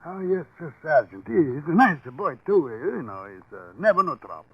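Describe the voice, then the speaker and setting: A nervous immigrant pool-room keeper in an old radio crime play. His raspy voice bobs up and down as he fawns in broken English, on a dull vintage recording. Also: on the high side, very animated, moderate pace, dry recording, heavily acted. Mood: eager, nervous. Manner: broken English, fawning, hesitant chuckling. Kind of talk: 1940s radio crime acting. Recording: muffled vintage 1940s radio broadcast.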